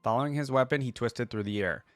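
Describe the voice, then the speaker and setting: A man's voice: mid-range voice, fast, no room sound. A man hosting a reaction video, reading fantasy lore aloud and commenting casually.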